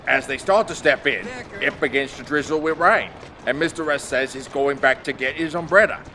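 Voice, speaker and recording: A man's voice: mid-range voice, normal speed, background noise, natural voice. A man playing a deferential coachman in a period mystery audio play, telling things plainly and matter-of-factly. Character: plain-spoken male coachman servant, deferential. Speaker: actor in audio drama.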